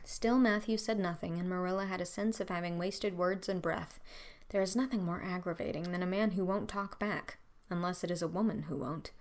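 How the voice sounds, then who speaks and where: A woman's voice: fairly low pitch, steady tone, a little fast. A woman reading scripted audiobook narration of a story.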